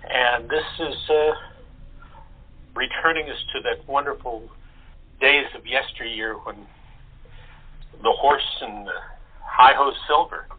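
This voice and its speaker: An older man reminiscing in a measured, nostalgic way over a narrow telephone line. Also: mid-range voice, slightly rough. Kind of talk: casual reminiscing.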